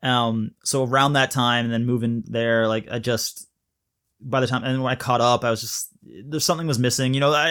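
A man in his thirties from Malaysia, co-hosting a podcast and chatting enthusiastically, with a rambling way of talking. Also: mid-range voice. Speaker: podcast co-host. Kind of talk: casual chat.

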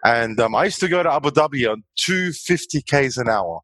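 A man's voice: mid-range voice, lively intonation, brisk, fairly bright tone, no echo. Easygoing man in his forties. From Australia. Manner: easygoing, chatty, laughs often. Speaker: guest. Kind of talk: casual interview chat.

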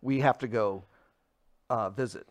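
A man in his fifties who runs a food truck, talking casually in an interview, relaxed and rambling with fillers. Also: medium pitch, a little slow, dry recording, clean studio sound.

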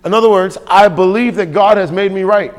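A man's voice: high-pitched, a little fast. Preaching man in a reverberant church. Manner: emphatic, repetitive preaching with call-and-response. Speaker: pastor. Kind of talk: sermon. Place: church sanctuary. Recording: long hall reverb.

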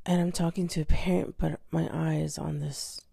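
Raspy, gravelly-voiced woman just awake. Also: deep voice, little pitch movement, moderate pace, no echo, clean studio sound. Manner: soft, often half-whispered, hesitant with fillers. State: raspy just-woken morning voice. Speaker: podcast host. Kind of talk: casual solo storytelling.

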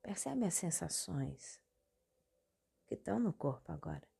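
A woman with a Brazilian accent hosting a guided meditation, giving calm instructions in short phrases with long pauses between them. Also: lowish voice, vocal fry, slightly rough. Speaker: guided meditation podcast host. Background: meditation music.

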